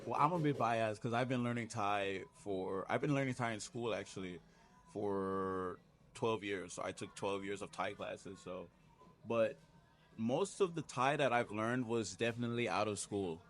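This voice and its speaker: Man, guest, lowish voice, easygoing, emphatic. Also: moderate pace. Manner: easygoing, emphatic, frequent "you know". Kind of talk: casual conversation.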